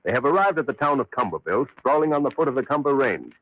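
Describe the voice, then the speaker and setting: A man's voice: medium pitch, very animated, quick pace, a bit bright, dull audio. A man with an American accent delivering dramatic scripted narration as an old-time radio announcer.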